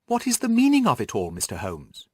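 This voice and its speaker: A man's voice: mid-range voice, huge pitch swings, a little fast, husky, no room sound, clean studio sound. A man voicing an earnest, anxious young Victorian governess who tells her story articulately in an audiobook. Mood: resolved, inquiring.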